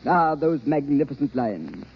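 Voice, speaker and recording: An actor playing a vain, self-important veteran stage actor in grandiloquent old radio drama style. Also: male voice, fairly high pitch, very animated, a little slow, husky, heavily acted. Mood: self-satisfied. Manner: grandiloquent, theatrical, patronizing. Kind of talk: 1940s radio drama acting. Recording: muffled vintage radio broadcast.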